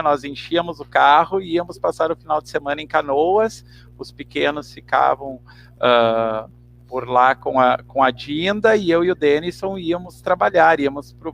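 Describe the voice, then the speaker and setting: A man with a southern Brazilian accent giving a casual personal account as an interview guest, in a halting, thoughtful way. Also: a little high, a bit bright. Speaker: theatre director, interview guest. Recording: clearly noisy, humming background.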